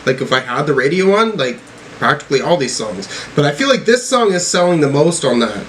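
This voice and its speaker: A man giving casual, off-the-cuff music commentary as a reviewer, in a halting, informal way with some swearing. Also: on the high side, very animated, a bit bright, a little hiss or hum, low-quality recording.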